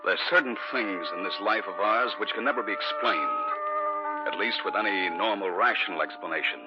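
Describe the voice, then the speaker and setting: Man playing a husband narrator, low voice, serious, loud music, muffled vintage recording. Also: brisk, natural voice. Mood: grave. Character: calm husband, narrator of the tale. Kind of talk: 1950s radio drama acting and narration. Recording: dull old radio recording with loud music.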